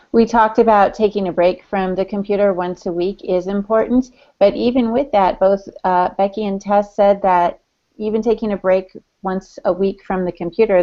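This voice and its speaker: A woman co-hosting a podcast, chatting casually and informally. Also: mid-range voice. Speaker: podcast co-host. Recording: dull lo-fi audio.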